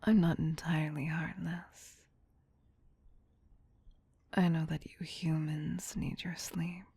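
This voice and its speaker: A woman playing a possessive, teasing, soothing vampire queen in a whispered ASMR roleplay, breathy, hushed and doting yet domineering. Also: low-pitched, little pitch movement, slow, dry recording, exaggerated voice. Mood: tender coaxing.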